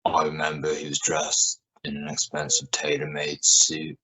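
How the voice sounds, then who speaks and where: A man playing a level-headed space mission crew member in an amateur radio drama, measured and matter-of-fact. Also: rumbling low, monotone, sharp tone, husky, full character voice. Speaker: amateur radio play actor. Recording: noisy home recording with clicks.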